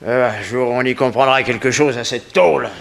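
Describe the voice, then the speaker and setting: An actor playing a blunt, wrongly jailed middle-aged convict in a 1960s French radio play. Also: male voice, medium pitch, normal speed, gravelly, a little hiss or hum, close to an everyday voice. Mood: wary, grumbling. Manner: blunt, defiant, aggrieved.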